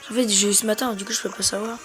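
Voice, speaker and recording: A man's voice: high voice, level tone, rapid-fire, music in the background. A man with a head cold giving rambling, hesitant gameplay commentary to his viewers. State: has a head cold, congested. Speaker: gamer.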